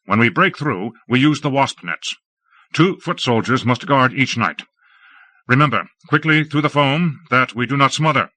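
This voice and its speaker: A man's voice: mid-range voice, expressive, moderate pace, sharp tone, no echo, low-quality recording. A man voicing a male lord who barks urgent commands to his troops in battle. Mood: urgent commanding. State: throat thick with fumes. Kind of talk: audiobook character voice.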